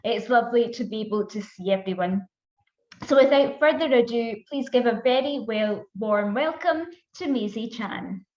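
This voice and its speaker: A woman's voice: a little high, moderate pace. A woman hosting an online event on a video call, politely reading out introductions and housekeeping notes. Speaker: event host. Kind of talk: scripted introduction. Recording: echoey, dull video call audio.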